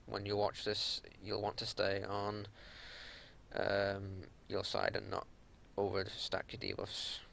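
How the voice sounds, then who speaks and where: A man with a low-pitched voice and a heavy creak talks through game footage as a raid leader. He explains tactics off the cuff in a plain, matter-of-fact way. There is faint noise and rumble in the home recording. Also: monotone, takes it slowly, fairly bright tone. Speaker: raid leader giving a strategy walkthrough. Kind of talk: unscripted gameplay commentary.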